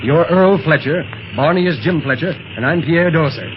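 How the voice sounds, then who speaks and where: An actor playing a steady, commanding adult detective leader in a 1930s radio drama, on a noisy old radio recording. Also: male voice, a little high, normal speed, highs cut off, natural voice.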